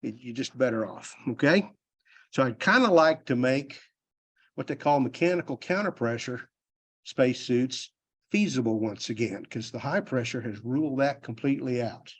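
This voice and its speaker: An older man with a Texas drawl gives a conference talk on a technical subject. He is plainspoken and folksy, rambles a little, and jokes about himself. His pitch and tone sit in an ordinary middle range. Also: animated, moderate pace. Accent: Texan. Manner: plainspoken, self-deprecating, loose and rambling. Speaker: conference speaker. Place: university convention hall.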